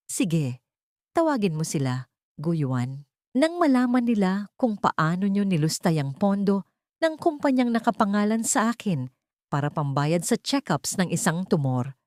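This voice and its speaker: A woman's voice: medium pitch, big pitch swings, unhurried, darkish tone, no room sound, clean studio sound. A woman voicing a wealthy betrayed wife in an audio drama, icy, scornful and vengeful. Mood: cold, mocking. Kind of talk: dramatic audio story dialogue.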